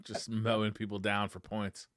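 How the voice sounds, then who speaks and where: A man's voice: lowish voice, little pitch movement, moderate pace, dry recording, clean studio sound. An enthusiastic man, chatting casually as a gaming podcast co-host. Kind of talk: casual chat about video games.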